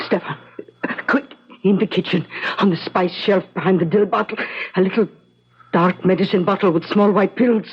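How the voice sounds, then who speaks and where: A woman's voice: mid-range voice, steady tone, normal speed, husky. An actress playing a frail older mother who pleads in a weak, faltering voice in a 1970s radio drama. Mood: pained, urgent. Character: frail, dying, devoted mother pleading with her son. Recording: dull, lo-fi old radio broadcast.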